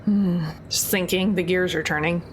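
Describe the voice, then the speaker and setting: A woman playing a tabletop game, chatting casually and thinking out loud. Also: fairly low pitch, fairly even intonation, normal speed. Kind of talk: casual play talk. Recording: noisy background hiss.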